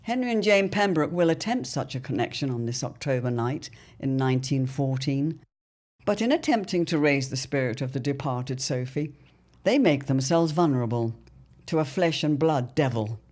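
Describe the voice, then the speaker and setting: A narrator giving scripted, measured storytelling narration that opens an audio drama episode. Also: mid-range voice, expressive, darkish tone. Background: faint music.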